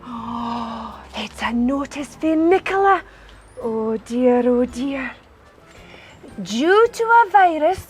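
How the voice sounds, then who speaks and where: A woman playing a theatrical, excitable pantomime fairy godmother with a North-East Scottish Doric accent, speaking in exaggerated rhyme to children. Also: very high-pitched, heavily acted. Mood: dismayed. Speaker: pantomime performer. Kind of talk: rhyming pantomime monologue.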